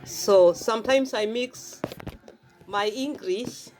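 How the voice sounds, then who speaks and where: A woman's voice: mid-range voice, slow, darkish tone, faint music behind. A woman from Kenya with a Kenyan accent, talking casually about her faith and repeating phrases as she explains herself at length. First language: Swahili. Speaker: vlogger sharing faith. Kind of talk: casual devotional talk. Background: faint gospel song playing.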